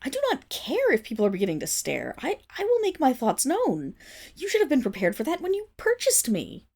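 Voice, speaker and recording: A woman acts out a proud wolf-girl servant with a husky, slightly gravelly voice. Her pitch leaps and dips expressively as she speaks in haughty, formal phrases. It is intimate ASMR roleplay, indignant and easily flustered. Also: huge pitch swings, darkish tone, heavily acted. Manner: haughty formal diction, halting when flustered. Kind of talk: ASMR roleplay, dramatic voice acting.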